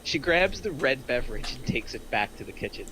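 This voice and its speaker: A man reads screenplay action lines as narrator. His voice is medium in pitch and bright, on a slightly noisy recording. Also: animated, fairly quick. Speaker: stage-direction narrator. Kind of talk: scripted narration at table read. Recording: clear background noise.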